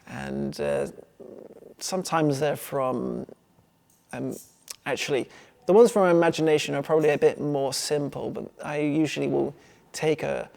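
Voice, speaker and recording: A man's voice: on the high side, fairly even intonation, normal speed, vocal fry, a little mellow. A man with an English accent talking casually about his own work as an artist, in a rambling, informal way. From England.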